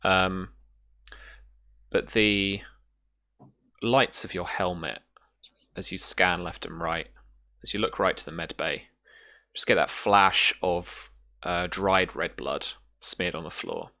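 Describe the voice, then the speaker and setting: A man narrating a tabletop role-playing game as game master in an improvised, halting way, pausing to find his words. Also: low voice, steady tone, creaky, pushed and bright.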